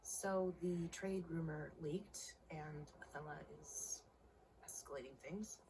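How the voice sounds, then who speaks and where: Low-voiced, composed female adviser. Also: a little mellow, slight background noise, poor audio. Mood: calm, instructive. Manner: measured, coaching. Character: calm, philosophical, strategic female adviser to an athlete. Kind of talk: naturalistic game cutscene dialogue.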